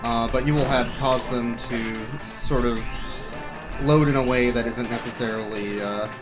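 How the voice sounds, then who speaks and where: A man commentates his own speedrun in a casual, live style, with game music clearly playing behind him. His asides are wry and playful, with a touch of mock drama. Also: mid-range voice, steady tone, normal speed, rough recording.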